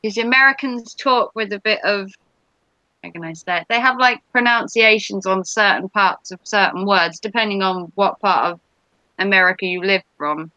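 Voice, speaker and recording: An English woman with a bright, forceful voice, joking and teasing through a relaxed chat. The sound is dry and a little dull, as if from a modest home mic. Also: mid-range voice, normal speed, no room sound. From England, Norfolk. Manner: teasing, jokey banter. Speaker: vlogger hosting a live stream. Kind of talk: casual chat.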